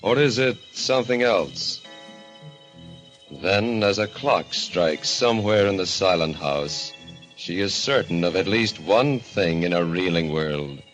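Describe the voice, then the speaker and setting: A man acting as the grave narrator of an old radio horror show, solemn, deliberate and portentous. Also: low-pitched, expressive, a bit bright, heavily acted. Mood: ominous. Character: grave, ominous male narrator of horror mystery. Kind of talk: golden age radio narration. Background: dramatic music.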